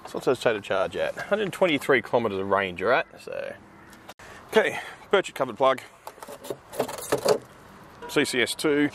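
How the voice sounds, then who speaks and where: A man's voice: medium pitch, very animated, moderate pace, long pauses, noisy background. A middle-aged Australian man narrating a casual road trip vlog from inside his car, chatty and loose, with asides and fillers. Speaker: EV vlogger. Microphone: dash-mounted camera. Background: background music.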